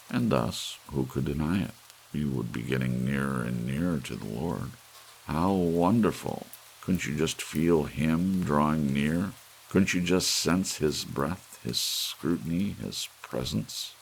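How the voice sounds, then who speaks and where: Male audiobook narrator for ASMR story reading: rock-bottom pitch, crackling creaky texture, soft rain hiss behind. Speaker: audiobook reader.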